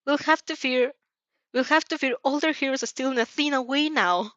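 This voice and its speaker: A woman's voice: sits high, fairly quick, fairly bright tone, no room sound, dull audio, voice pushed up. A woman voicing a bubbly, teasing teenage schoolgirl with an exclamatory, playfully argumentative manner in a story reading. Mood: playfully insistent. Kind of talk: animated fan fiction character reading.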